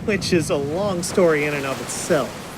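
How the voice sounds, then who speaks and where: A man playing a cheeky, excitable, nosy young friend with a lively, teasing manner in an audio drama. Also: on the high side, normal speed, natural voice. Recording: noisy waves and ship ambience.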